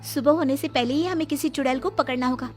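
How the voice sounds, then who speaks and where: A woman's voice: high-pitched, fast, faint music behind, close to an everyday voice. A woman voicing a bold, determined elder sister in a cartoon, confident and commanding. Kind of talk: Hindi cartoon voice acting.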